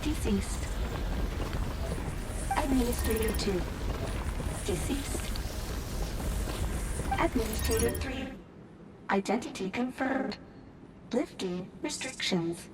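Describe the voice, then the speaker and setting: A woman's voice: fairly high pitch, lively intonation, takes it slowly, pauses often, heavy background noise. A woman voicing an impassive security computer in a video game, giving clipped, procedural announcements in a detached manner. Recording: voice under loud music.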